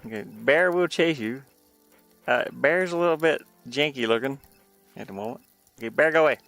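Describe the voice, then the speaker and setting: A man's voice: mid-range voice, normal speed, creaky, a bit bright, soft background music. A man chatting casually on a livestream as he shows his own game in development, easygoing and rambling, often trailing off. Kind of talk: casual livestream chat while demoing a game.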